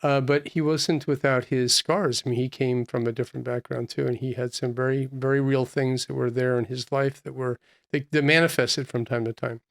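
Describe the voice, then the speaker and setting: Smooth-voiced man hosting a podcast interview. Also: mid-range voice, fairly even intonation. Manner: unhurried, conversational, restarting phrases. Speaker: podcast host, physician.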